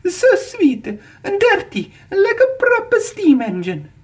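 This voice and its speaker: A narrator voicing Alicia Botti, a grand, dramatic female opera singer who shrieks, in a storybook reading, pitched far above the narrating voice. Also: big pitch swings, slow pace, bright, forceful tone, exaggerated voice. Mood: gushing. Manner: shrill, dramatic. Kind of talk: storybook character voice.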